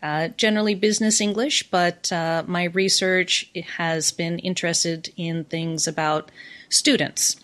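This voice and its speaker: A woman's voice: lowish voice, fairly even intonation, unhurried, fairly bright tone. A middle-aged American woman, a university professor, talking as a podcast guest in a friendly, easy way. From United States. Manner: friendly, laughs often while explaining. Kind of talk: casual podcast conversation.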